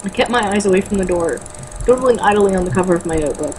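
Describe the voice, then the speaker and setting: A woman's voice: medium pitch, animated, fast talker, darkish tone, slight background noise, low-quality recording. A woman reading a novel aloud as an amateur, tripping over words now and then and breaking off for blunt, mocking, casual commentary. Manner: stumbles over words when reading, blunt and sweary in asides.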